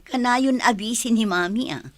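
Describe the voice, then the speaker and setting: A Filipino woman acting an excitable, teasing sister in a radio drama. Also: a little high, moderate pace, lightly acted. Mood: excited.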